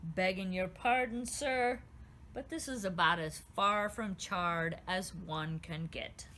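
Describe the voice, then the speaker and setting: Young male guard, woman reading, formal, courteous, bright tone. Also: fairly low pitch, slow.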